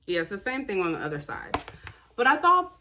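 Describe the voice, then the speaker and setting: A woman hosts a chatty book vlog in a bright, crisp-edged voice of middling pitch. She speaks informally and emphatically, posing questions to herself and answering them bluntly. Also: sing-song, a little fast, a little hiss or hum. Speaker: book reviewer, vlog host.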